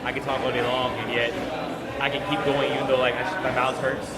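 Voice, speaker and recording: Fast-talking male podcast guest. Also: mid-range voice. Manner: chatty, relaxed, lots of "right?". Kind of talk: casual bar chat. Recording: roomy, loud crowd behind.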